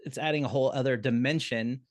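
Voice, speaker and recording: A man speaking as a panelist on a video call, explaining casually in short, halting phrases. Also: medium pitch, fairly even intonation, no room sound, clean studio sound. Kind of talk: conversational panel discussion.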